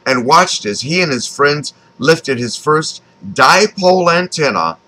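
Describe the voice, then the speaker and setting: Bright-voiced American man reading his memoir. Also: a little high, expressive, measured pace, low-quality recording. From Texas, United States. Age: 50s. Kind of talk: scripted autobiographical narration, read aloud.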